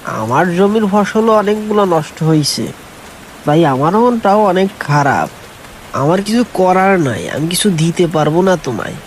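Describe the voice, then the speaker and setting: A man playing a stern, unhelpful village headman in an animated folk tale, cold and dismissive. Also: sits high, a little slow, poor audio. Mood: refusing. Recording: faint hiss and rain noise.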